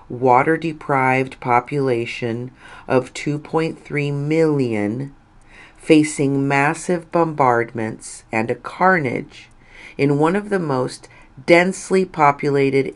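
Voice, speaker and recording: Female narrator for a read-aloud article: slow, measured delivery, deliberate pausing between phrases. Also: very deep voice. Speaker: reader of an article.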